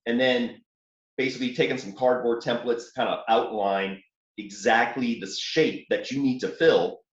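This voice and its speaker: A man from New Orleans with a light Southern accent, explaining his Jeep build step by step in a relaxed, casual way. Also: mid-range voice, normal speed, roomy sound, lo-fi sound. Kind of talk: casual technical chat. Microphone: remote call.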